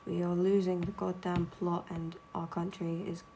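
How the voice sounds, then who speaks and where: A young woman with a low, gravelly voice that stays flat in pitch. She rants bluntly and swears freely while she chats over her drawing on a home stream. A faint hiss sits behind her. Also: moderate pace. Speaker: streamer and artist.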